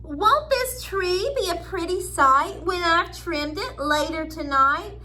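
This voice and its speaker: Woman playing a wistful, high-voiced storybook maid. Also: animated, slow, sharp tone, a little hiss or hum, full character voice. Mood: wistful, fretting. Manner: sighing, fretful.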